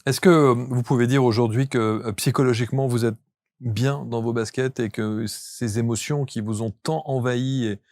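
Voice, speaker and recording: A man's voice: medium pitch, steady tone, moderate pace, a little mellow, no echo, clean studio sound. A man hosting a conversational interview, putting questions to his guest. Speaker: talk show interviewer.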